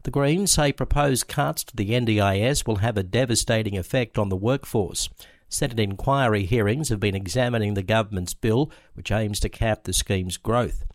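A man with an Australian accent reading a radio news bulletin in a measured, steady way. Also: lowish voice, dry recording, clean studio sound. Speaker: news presenter.

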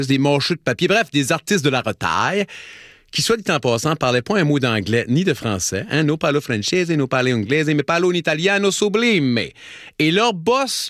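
Acadian man, radio host, animated pitch, wry storytelling, dry close studio sound. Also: no echo. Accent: Acadian French. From Acadian New Brunswick, Canada. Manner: playful, wry anecdotal delivery with dramatic pauses.

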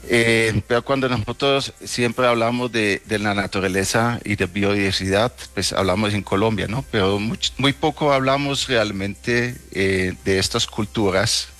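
A middle-aged man from the Netherlands, a native Dutch speaker, answering interview questions conversationally with halting, hesitant phrasing. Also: fairly low pitch, fairly even intonation, a bit bright. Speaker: interview guest, travel agency owner.

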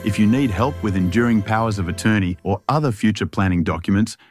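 Low-pitched male voice-over, calm reassuring service ad, faint music bed. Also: fast. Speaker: advertisement voice-over. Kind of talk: scripted radio ad read.

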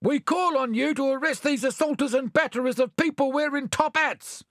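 A man's voice: very high voice, moderate pace, fairly bright tone, dry recording, clean studio sound, pitched above the performer's own voice. A man voicing sly animal pudding thieves who chant in a wheedling, sing-song way.

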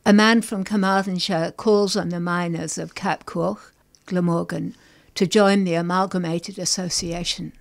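A middle-aged woman from England, a poet guest on a radio show, reading her poems with deliberate care and talking about her work. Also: fairly low pitch, fairly even intonation, gravelly. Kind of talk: poetry reading and interview.

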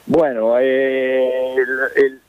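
A man's voice: medium pitch, monotone, smooth, no room sound. Spanish guy w italian accent on a phone line, dry and tinny, stumbles and repeats a lot. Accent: Italian-tinged Spanish. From Spain. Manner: halting, repeats himself, many fillers. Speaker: circus manager. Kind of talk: phone interview on radio.

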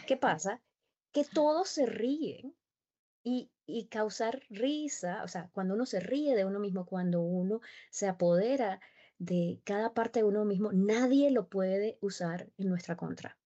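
Animated Spanish-speaking woman, mellow, muffled. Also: medium pitch, unhurried. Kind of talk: conversational interview.

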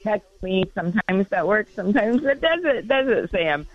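A woman calling in to a radio show, brisk and friendly. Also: medium pitch, sing-song, muffled recording. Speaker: caller. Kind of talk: phone-in chat.

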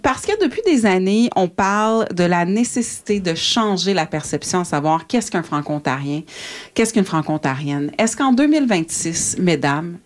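A woman's voice: on the low side, expressive, normal speed, no room sound. A woman hosting a radio talk show in a studio, speaking conversationally with frequent fillers. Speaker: radio host.

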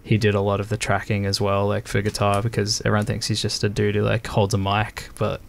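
A man's voice: on the low side, monotone, brisk, vocal fry, a little hiss or hum. A young man, a band's drummer, chatting casually in an interview and laughing often, with plenty of slang. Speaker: band drummer.

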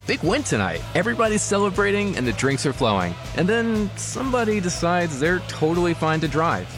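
A man narrates a public service ad like a short story. His pitch moves widely, and loud music plays beneath. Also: very animated, brisk.

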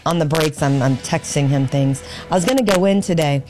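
Woman, talk radio host, low voice, hoarse, chatty, opinionated, home studio. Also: a little fast, music in the background. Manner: chatty and opinionated, thinking aloud. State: hoarse, losing her voice. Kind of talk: casual talk radio commentary.

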